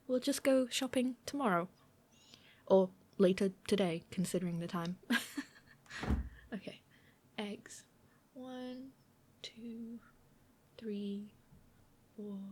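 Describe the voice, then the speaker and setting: High-voiced, playful young woman roommate, acted by a woman. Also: pushed and bright, full character voice. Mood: mock outrage, then nervous, focused. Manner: chatty, self-deprecating, hesitant, often giggly, murmuring to herself. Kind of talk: girlfriend ASMR audio roleplay.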